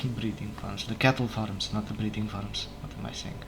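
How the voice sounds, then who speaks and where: A man whose first language is not English, casually commenting on his game as he plays, unhurried and thinking aloud, often correcting himself. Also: fairly low pitch, fairly even intonation, background noise. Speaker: let's play gamer. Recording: game music playing behind. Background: game music.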